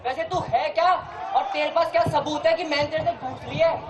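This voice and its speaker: A woman's voice: high-pitched, fairly even intonation, fairly quick, dull audio, a crowd in the background, lightly acted. A woman acting a self-important corrupt judge in an amateur stage play. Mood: haughty, scornful. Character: haughty corrupt judge who turns wheedling. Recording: echoey stage recording with audience noise.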